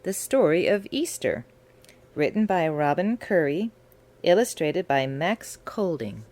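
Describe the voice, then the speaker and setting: A woman reads out the title and credits of a children's picture book for a church audience. Her delivery is slow and clear, and her pitch moves in a lively, melodic way. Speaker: church storybook reader. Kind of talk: reading a children's book aloud.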